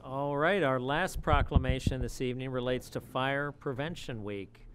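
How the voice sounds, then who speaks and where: A man's voice: mid-range voice, moderate pace, noisy background. A man, the mayor, courteously chairs a council meeting and hands over to speakers. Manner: courteous, gracious.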